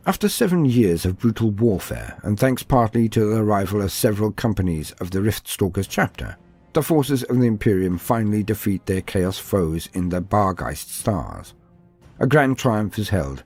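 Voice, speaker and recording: A man's voice: fairly low pitch, a little mellow, breathy edge. A man giving a scripted, dramatic narration of fantasy war lore. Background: music.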